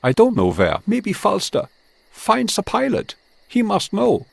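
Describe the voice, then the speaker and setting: An actor playing a frightened captured enemy officer in a war game, pleading haltingly for his life. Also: high voice, wildly swooping pitch, gravelly, a little hiss or hum, exaggerated voice. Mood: terrified, pleading.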